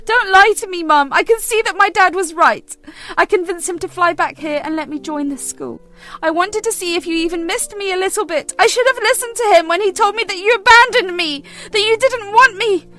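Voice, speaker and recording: A shrill, accusing teenage girl, as a woman narrator puts her on, her very high voice straining with hurt and anger. She hurls her words loudly. Soft music plays behind the storybook reading. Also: normal speed. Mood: bitter.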